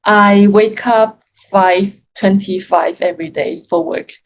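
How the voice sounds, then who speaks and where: A young woman from Yunnan, China, a native Chinese speaker talking in English as an interview guest, halting and full of restarts, with frequent laughs. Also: medium pitch, steady tone, a little hiss or hum, poor audio. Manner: hesitant, many restarts and fillers, laughs often. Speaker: guest, freelance Chinese teacher. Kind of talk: casual interview chat.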